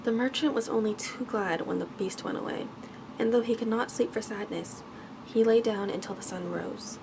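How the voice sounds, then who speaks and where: A woman's voice: fairly high pitch, moderate pace. A woman reading a bedtime story aloud in ASMR style as a storyteller. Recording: clearly noisy background ambience.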